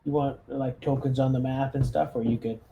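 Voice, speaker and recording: Male, mellow mid-range voice, casual tabletop player organising a squad, faint background noise. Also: mid-range voice, fairly even intonation, a little fast, vocal fry, rough recording. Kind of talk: casual game-session chat.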